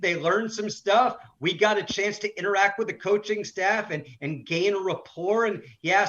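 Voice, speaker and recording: A man's voice: high voice, a bit bright, muffled recording. A man who works as a sports broadcaster, giving conversational analysis as an interview guest.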